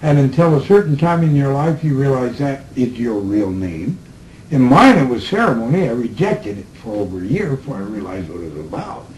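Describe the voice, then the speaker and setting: Slow, reflective man on old tape. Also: mid-range voice, sing-song, a little mellow, poor audio. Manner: slow, reflective, laughs between remarks. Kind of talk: recorded group conversation. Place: room with a small group. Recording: old tape recording with faint hiss.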